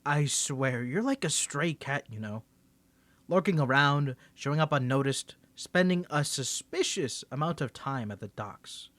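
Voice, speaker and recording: A man's voice: mid-range voice, animated, unhurried, fairly bright tone, no echo. A man voice acting an ASMR roleplay as a cocky young superhero with a secret identity, stammering and teasing as he swings between confidence and nerves. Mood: teasing, flustered.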